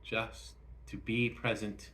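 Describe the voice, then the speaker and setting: A man leading a guided meditation, speaking gently and instructively in short phrases with frequent pauses. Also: fairly low pitch, flat delivery.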